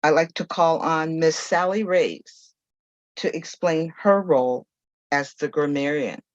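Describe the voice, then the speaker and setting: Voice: mid-range voice, unhurried, dry recording, highs cut off. A general evaluator introducing her team in an online meeting in a formal, wordy manner.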